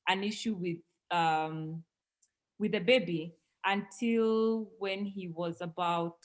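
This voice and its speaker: A woman's voice: mid-range voice, poor audio. Woman from Kenya telling her story. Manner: earnest, measured, with frequent ums. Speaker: interview guest, parent. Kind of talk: conversational storytelling.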